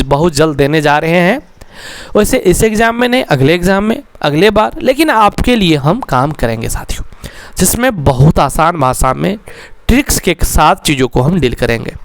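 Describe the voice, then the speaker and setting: Animated high-pitched Bihari man coaching. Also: moderate pace, dry recording. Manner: addresses listeners as companions, with frequent check-in tags. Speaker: exam preparation coach and video host. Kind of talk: instructional explainer with motivational address to viewers.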